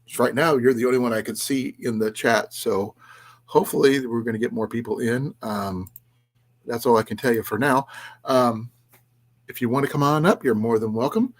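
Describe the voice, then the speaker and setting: A man with a slightly raspy voice hosting a casual livestream, talking in a halting, rambling way with restarts and trailing asides as he chats with viewers. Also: mid-range voice. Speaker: livestream host of an outdoors and preparedness channel. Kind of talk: casual livestream chat, reading and answering viewers' comments.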